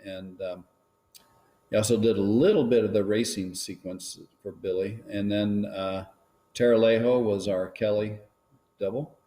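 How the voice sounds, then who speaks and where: Low-voiced rambling filmmaker guest. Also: male voice, unhurried. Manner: relaxed, rambling, many ums and restarts. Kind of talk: casual live-stream chat.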